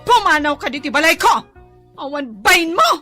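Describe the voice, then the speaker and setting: A woman playing a furious young wife who scolds her husband in a shrill, tearful way, acted for radio drama. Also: animated, moderate pace, sharp tone, soft background music, heavily acted.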